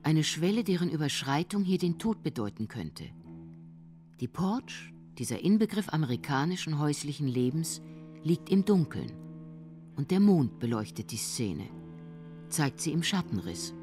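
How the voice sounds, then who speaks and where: A woman's voice: deep voice, very animated, normal speed, mellow. A woman reading scripted, essayistic radio narration. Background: music.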